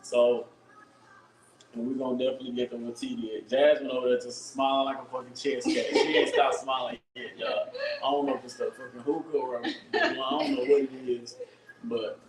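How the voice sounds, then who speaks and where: A man hosting a live stream, loud and profane, constantly talking to his viewers. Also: medium pitch, normal speed, roomy sound, background noise. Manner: loud, hyped, profane, keeps addressing viewers. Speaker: livestream host. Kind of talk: casual live-stream chat.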